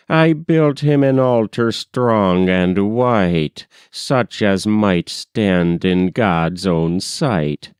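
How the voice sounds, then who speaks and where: Slow, low-voiced man reciting poetry. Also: a little mellow, clean tone, dry recording, studio quality. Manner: measured, solemn delivery. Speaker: poetry reader.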